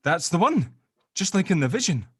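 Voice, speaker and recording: A man's voice: a little high, sing-song, moderate pace, a bit bright, husky, no room sound, heavily acted. A man playing an earnest, exasperated amateur sleuth in a drama table read, hesitant in his explanations. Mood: exasperated, intent.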